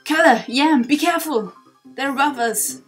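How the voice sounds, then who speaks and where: A woman voicing an earnest, protective bird guide in a video game, grave and halting, with exclamations. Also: high voice, soft background music, pitched above the performer's own voice, lightly acted. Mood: urgent.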